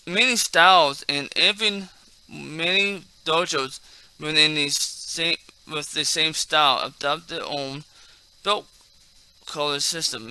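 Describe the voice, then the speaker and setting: A man hosting a martial arts video in a casual, halting way, repeating and stumbling over words, with a slight speech impairment. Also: fairly high pitch, sharp tone, smooth, rough recording. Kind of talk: casual talk mixed with halting reading aloud from a text.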